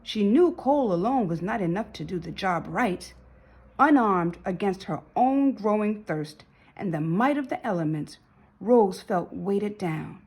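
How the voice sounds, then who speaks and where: A woman's voice: mid-range voice, darkish tone, breathy edge. A woman reading a children's storybook aloud as narrator, in a dramatic, emphatic storytelling manner. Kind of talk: expressive children's read-aloud.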